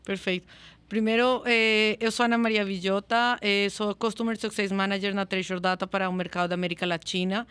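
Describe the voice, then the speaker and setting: A woman's voice: medium pitch, steady tone, brisk, a bit bright, clean tone, no echo. Woman, Spanish-speaking accent, native Spanish, careful speech, self-correcting, dry close sound. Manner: careful, searching for Portuguese words, self-correcting. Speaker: guest, customer success manager. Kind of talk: podcast interview answer.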